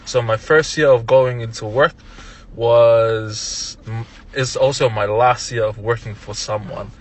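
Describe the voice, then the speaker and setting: Young Tongan man, easygoing podcast guest, mid-pitched voice, chuckles between thoughts. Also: steady tone, measured pace. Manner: laid-back, laughs often, many restarts. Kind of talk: casual interview chat.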